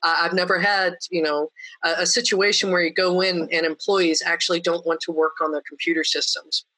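A woman with a somewhat deep voice tells her story as a podcast guest. Her tone is bright and forceful. She is lively and anecdotal, and she mimics the people she quotes. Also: fairly quick, poor audio. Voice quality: deeper voice.

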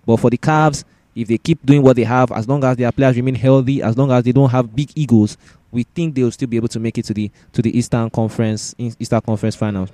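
A man's voice: mid-range voice, steady tone, fast, mellow, no echo. A man hosting a casual sports discussion podcast, often repeating words and phrases for emphasis.

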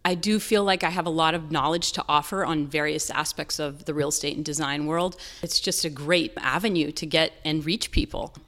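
Lower-pitched American woman in an interview. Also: fairly even intonation, normal speed, few pauses, a bit bright. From North Carolina, southern United States. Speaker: interview guest, real estate and design business owner. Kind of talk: conversational interview answers.

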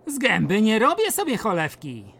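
A woman's voice: medium pitch, huge pitch swings, normal speed, fairly bright tone, slightly rough, full character voice. A woman voicing a sly, boastful male devil in a radio play, wheedling and theatrical. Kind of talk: radio drama acting.

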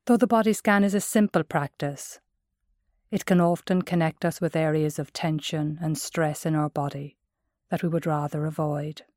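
A woman with an Irish accent gives measured, gentle guided meditation narration as a mindfulness instructor. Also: low voice, fairly even intonation, no room sound, studio quality.

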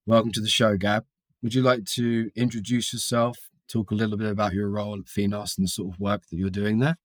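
A man with a fairly low voice hosts a podcast, introducing the show and his guest in a polished, scripted way. The sound is dry and close to the mic. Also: flat delivery, brisk, dry recording. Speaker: podcast host, reporter. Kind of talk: podcast hosting.

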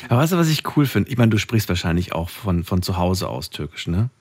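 Male radio call-in host, low-pitched, chatty, quick to laugh with callers. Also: husky. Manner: chatty, laughs often, lively pitch swings. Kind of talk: late-night radio phone-in chat. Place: radio studio.

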